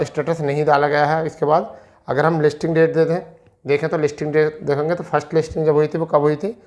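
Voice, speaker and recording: A man with an Indian accent giving an informal explainer from an on-screen document, repeating himself and answering his own questions, with English terms mixed in. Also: on the high side. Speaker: educational video presenter.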